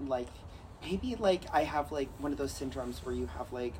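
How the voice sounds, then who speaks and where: A man's voice: medium pitch, expressive, moderate pace, darkish tone, rough recording. Chatty man with faint background hiss. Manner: rambling, filler-heavy, jokey. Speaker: podcast co-host. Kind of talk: casual chat.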